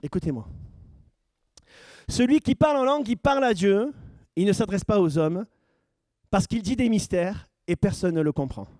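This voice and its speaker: A man's voice: high-pitched, animated, moderate pace, pauses often, a little mellow, no room sound. A man preaching as pastor, questioning his congregation and building to emphatic points. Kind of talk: sermon teaching.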